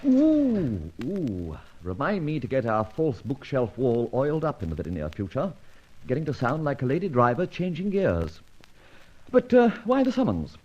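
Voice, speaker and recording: A dull, lo-fi old radio recording carries a suave British gentleman adventurer. His voice is slightly husky and swings dramatically in pitch. He talks in flippant, jaunty old-fashioned slang, always wry and sure of himself. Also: wildly swooping pitch, normal speed. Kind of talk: old-time radio detective drama acting.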